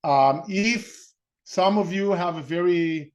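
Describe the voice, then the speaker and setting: Middle-aged Israeli man presenting, slightly high-pitched voice. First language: Hebrew. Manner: halting, rambling, often rephrasing mid-sentence. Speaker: webinar host and real estate investment coach. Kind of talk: informal webinar presentation.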